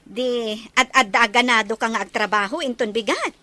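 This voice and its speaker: A woman acting a caring older sister in a radio drama, animated and solicitous. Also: on the high side, normal speed. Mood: pleased, curious.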